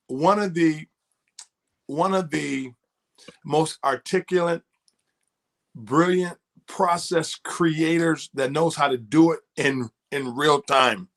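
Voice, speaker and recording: Man, very slow pace, somewhat high pitch, co-host, halting weighty phrasing, laudatory introduction. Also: fairly bright tone.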